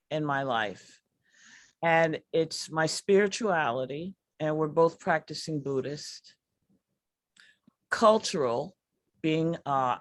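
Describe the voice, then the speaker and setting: Slow-talking middle-aged woman telling her story. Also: low-pitched, dry recording. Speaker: comedy performer. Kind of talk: conversational personal storytelling.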